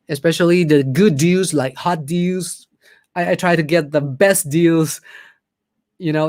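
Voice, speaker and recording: A man's voice: fairly high pitch, no room sound, studio quality. A man with a Thai accent, whose first language is Thai, hosting a casual livestream and chatting upbeat with viewers as he reads their comments aloud. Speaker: livestream host.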